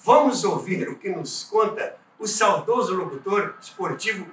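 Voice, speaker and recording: A Brazilian man with a high-set, bright voice reads scripted documentary narration at an unhurried pace. He tells it like a storyteller, with emphasis, in a roomy space away from the microphone. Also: lively intonation. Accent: Brazilian Portuguese. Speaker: podcast host and narrator of radio history. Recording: roomy echo, distant from microphone.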